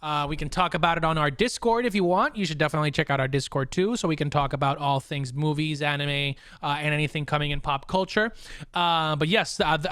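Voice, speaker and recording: A man's voice: on the high side, fairly bright tone, clear, resonant tone, no room sound, clean studio sound. A man hosting a podcast in a casual, chatty style. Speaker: podcast host.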